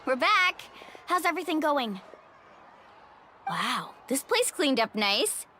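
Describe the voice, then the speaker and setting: A woman's voice: high-pitched, sing-song, slow pace, pushed and bright, slight background noise, exaggerated voice. A woman playing an upbeat, confident young woman in a video game, with a breezy, casual, playful way of talking. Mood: cheerful. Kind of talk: animated video game voice acting. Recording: music behind the voice.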